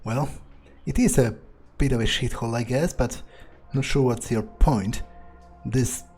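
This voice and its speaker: A man plays a game in character, thinking aloud in a medium-pitched voice. His commentary is casual, dry and understated. Faint music runs behind him. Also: measured pace, a little mellow, husky. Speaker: gamer playing in character.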